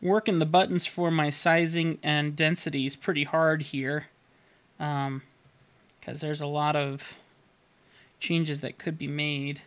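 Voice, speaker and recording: Chatty man with a fairly high voice. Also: little pitch movement, measured pace, fairly bright tone. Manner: rambling, self-deprecating, chuckles often. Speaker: caricature artist streaming. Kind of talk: casual live-stream narration while painting. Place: home studio room indoors.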